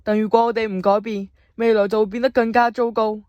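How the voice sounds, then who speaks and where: Earnest young male commander, played by an amateur, radio play, declaiming, resolute, mid pitch. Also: level tone, brisk, no echo, lo-fi sound, natural voice. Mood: grave, resolute. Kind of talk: amateur Cantonese radio drama acting.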